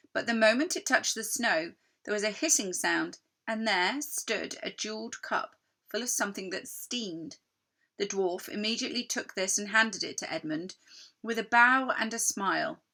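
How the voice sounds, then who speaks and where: Bright, forceful woman reading a story. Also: medium pitch. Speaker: storybook reader. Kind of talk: expressive children's story narration.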